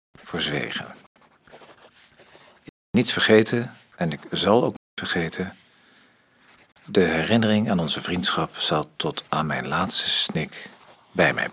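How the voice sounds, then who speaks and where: An actor playing a troubled, articulate middle-aged politician in a radio drama, speaking haltingly. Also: male voice, deep voice, a bit bright, breathy edge, full character voice. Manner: halting, repeats himself when pressed.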